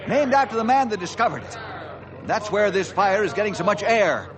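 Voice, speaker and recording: A man's voice: high voice, lively intonation, a little fast, a crowd in the background. A man playing a commanding, decisive American chief forest ranger in a radio adventure drama, giving authoritative orders. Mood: urgent.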